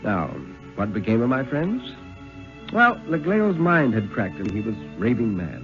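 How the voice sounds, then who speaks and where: Haunted lighthouse keeper, vintage radio. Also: male voice, medium pitch, sing-song, over music. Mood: wry, reflective. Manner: measured, dramatic storytelling with rising urgency. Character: lighthouse keeper recounting a horrific siege, steely and haunted. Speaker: story reader. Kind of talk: 1950s radio dramatic reading. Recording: dull vintage radio recording.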